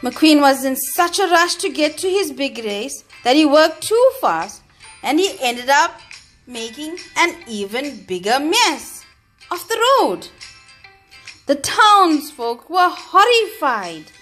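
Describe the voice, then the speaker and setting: High, animated woman reading a children's storybook. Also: soft background music. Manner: expressive, deliberate, emphatic phrasing for children. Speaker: storybook reader. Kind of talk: read-aloud children's storytelling.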